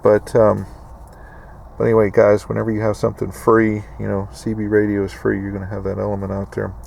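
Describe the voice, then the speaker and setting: A middle-aged American man with a lowish, gravelly voice talks casually through a piece of gear. He rambles in a folksy, explanatory way, like a host addressing his viewers. Vehicle and ambient noise sits behind him. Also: completely flat delivery, fairly quick, a little mellow. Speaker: prepper video host. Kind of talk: casual explanatory product walkthrough.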